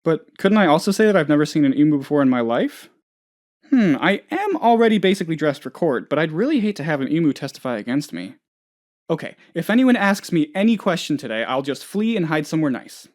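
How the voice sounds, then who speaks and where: An actor playing a naive, anxious, scatterbrained office boss who rambles and gets sidetracked, in a video game. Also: male voice, on the high side, very animated, a little fast, darkish tone. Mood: earnest, hesitant. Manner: rambling, absent-minded, easily sidetracked. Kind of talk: video game character voice lines.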